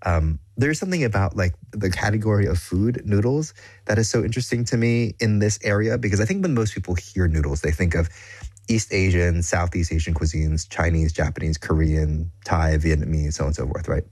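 A man hosting an interview, attentive and affirming, asking relaxed follow-up questions. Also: low-pitched, fairly quick, breathy edge, no room sound. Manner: attentive, frequent affirming backchannels. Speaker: podcast host. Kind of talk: conversational interview.

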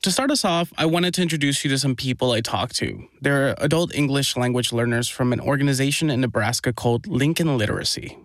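A man's voice: medium pitch, fairly quick, few pauses, fairly bright tone, dry recording, faint music behind. A man reporting on a conversational news podcast, explaining things in a lightly amused way.

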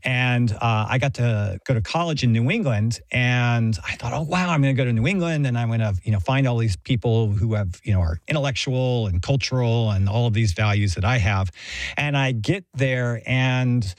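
A man's voice: mid-range voice, moderate pace, dry recording. A middle-aged man from Texas, a guest giving thoughtful, anecdotal answers with some fillers. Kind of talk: reflective interview answers.

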